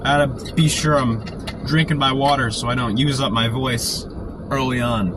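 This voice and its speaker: A man with a sore throat from a cold, keeping his voice quiet and low while chatting casually on a live stream from inside a parked car. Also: steady tone, normal speed, music in the background. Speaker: storm chaser live-streaming. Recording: noisy car interior. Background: car and outdoor traffic noise.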